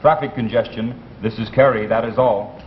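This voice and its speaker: Actor as a formal police dispatcher, medium pitch. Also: male voice, fairly even intonation, normal speed, lightly acted. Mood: businesslike. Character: formal male police dispatcher with disc-jockey flair. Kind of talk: 1950s radio comedy acting. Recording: muffled old radio recording, faint rumble.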